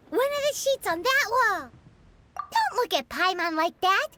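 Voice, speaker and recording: Casting: tiny greedy fairy sidekick for a game, very high childlike squeak, excitable, swooping intonation, music behind. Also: female voice, slow, exaggerated voice. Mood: greedy, thrilled. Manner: exclamatory, refers to self in third person. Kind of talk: video game voice acting.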